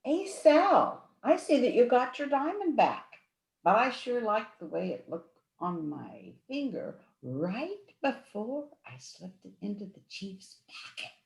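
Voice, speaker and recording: A woman's voice: on the high side, big pitch swings, measured pace, creaky, exaggerated voice. A woman playing a cheeky, folksy cigarette girl in a campy noir radio play, playful and theatrical. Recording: roomy live stage sound.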